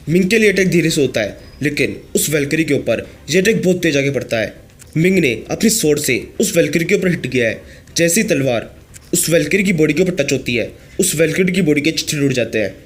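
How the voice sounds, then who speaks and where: A hoarse man narrating a comic story recap as the channel's host. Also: fairly high pitch. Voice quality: husky.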